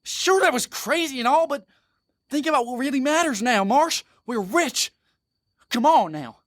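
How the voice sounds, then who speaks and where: A man playing a dim-witted, greedy bandit in an audio drama, excitable and brash, with a rural American accent. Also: very high-pitched, normal speed. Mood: greedy excitement. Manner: excitable, folksy.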